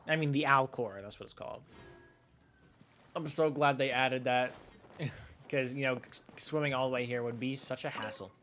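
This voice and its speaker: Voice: mid-range voice, moderate pace, pauses often, fairly bright tone, faint music behind. A video game streamer giving casual, rambling commentary with joking asides.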